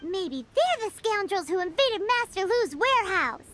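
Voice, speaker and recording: A woman voicing a tiny, childlike, excitable fairy companion in a video game, with a squeaky, exclamatory delivery. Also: normal speed, a little hiss or hum, heavily acted. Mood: alarmed. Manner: refers to herself in third person, exclamatory. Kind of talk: scripted video game voice acting. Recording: game music under the voice.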